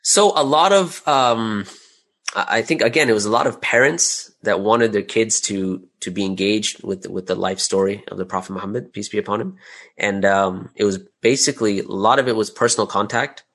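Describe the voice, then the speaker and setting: A man's voice: lowish voice, normal speed, a bit bright. A man speaking as an interview guest, telling anecdotes in a casual, conversational and candid way about his own work. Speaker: interview guest, audio drama creator.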